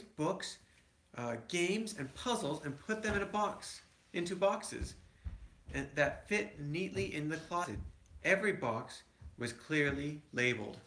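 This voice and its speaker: A man's voice: on the high side, a bit bright. A man reading a picture book aloud to his children, with conversational asides. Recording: echoey room.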